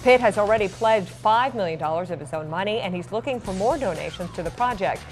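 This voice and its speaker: A woman's voice: mid-range voice, expressive, fast talker, soft background music, poor audio. A woman anchoring a newscast, reading scripted stories with light conversational asides. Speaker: TV news anchor.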